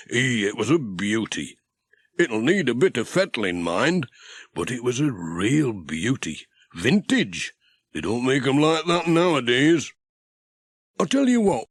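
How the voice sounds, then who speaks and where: A folksy handyman who adores old machinery, played by a male reader. His storybook voice is keen and animated, husky at the edges and dryly recorded. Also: medium pitch, no room sound, pitched above the performer's own voice. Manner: folksy, enthusiastic. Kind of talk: children's storybook dialogue.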